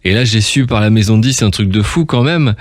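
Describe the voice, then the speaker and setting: Low-voiced man hosting a chatty radio interview. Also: lively intonation, fast talker, no echo, studio quality. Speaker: radio host interviewing a guest. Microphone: close studio radio microphone.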